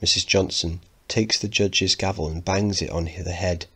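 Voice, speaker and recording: A man's voice: low-pitched, fairly even intonation, moderate pace, bright, forceful tone, slightly rough. A man teaching, narrating a play and explaining it in an instructive way.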